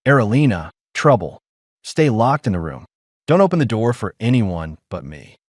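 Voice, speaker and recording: A man voicing a weary, gruff human ex-mercenary who speaks low and clipped. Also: very animated, normal speed, a little mellow, dry recording, clean studio sound. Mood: urgent.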